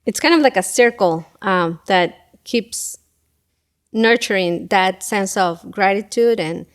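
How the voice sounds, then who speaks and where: A middle-aged woman from Ecuador, talking as an interview guest in a thoughtful way with many pauses and fillers. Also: medium pitch. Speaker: guest, school event coordinator. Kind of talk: casual interview talk.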